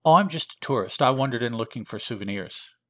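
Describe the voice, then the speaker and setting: A man's voice: mid-range voice, lively intonation, fast talker, fairly bright tone, lightly acted. Man reading as a sarcastic young adventuress. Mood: flippant. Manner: dry, cheeky retorts. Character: sarcastic young blonde adventuress, bold and unbothered.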